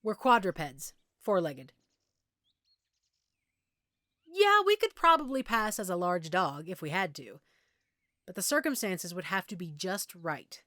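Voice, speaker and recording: A woman's voice: medium pitch, dry recording. Woman playing a patient, reassuring werewolf mentor, close and dry. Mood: playful, informative. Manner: patient, gently explanatory, reassuring. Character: friendly, reassuring female werewolf pack member, patient mentor. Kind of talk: intimate audio roleplay, speaking directly to the listener.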